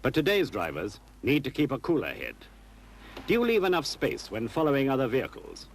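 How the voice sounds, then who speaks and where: A man narrating a scripted public information film in a measured, advisory manner. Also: mid-range voice, sing-song, a little hiss or hum.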